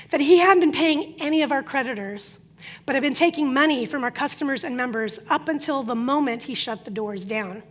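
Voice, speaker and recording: A middle-aged woman whose first language is Croatian gives a prepared inspirational talk, telling her story earnestly with emphatic pauses. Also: high voice. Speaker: speaker.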